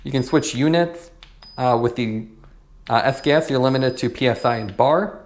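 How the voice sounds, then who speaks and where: Guy doing a casual product review, mid pitched voice, plain matter of fact explaining. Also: normal speed.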